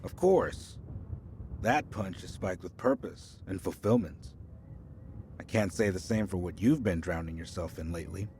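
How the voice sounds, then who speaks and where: A cold, smug company loyalist, played by a man in an audio thriller. He speaks in a very deep voice with faint ambient noise behind him. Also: normal speed, darkish tone. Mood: smug, cutting. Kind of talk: audio drama acting.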